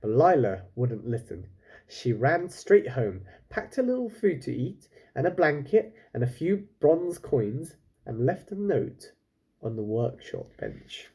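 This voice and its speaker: A man reading a children's story aloud as a teacher would, pausing to explain and wonder aloud to his listeners. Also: medium pitch, very animated, creaky, mellow, breathy edge.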